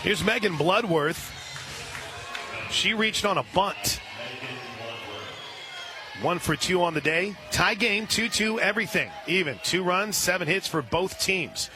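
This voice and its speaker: High-pitched American man calling softball on radio. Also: unhurried, long pauses, over music. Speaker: radio play-by-play sports announcer. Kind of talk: live softball play-by-play commentary with sponsor reads.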